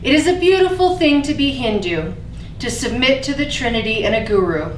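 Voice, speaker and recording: High-voiced woman host, echoey room. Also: expressive, a little fast. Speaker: host introducing and reading a poem. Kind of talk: staged reading presentation. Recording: echoey room, distant mic, faint noise.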